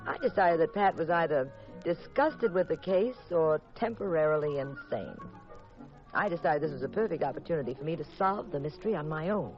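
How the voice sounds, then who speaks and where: An actress playing a detective's sharp, teasing wife in a 1950s radio mystery, with an arch, sardonic delivery. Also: female voice, low-pitched, lively intonation, a little fast, soft background music. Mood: wry narration. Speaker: lead actress and narrator. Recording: muffled vintage radio recording.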